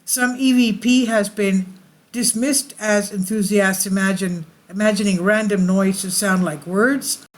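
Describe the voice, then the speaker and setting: A woman's voice: medium pitch, fairly even intonation, measured pace. A woman hosting her channel, reading an article aloud and often stumbling and correcting herself mid-sentence. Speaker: channel host.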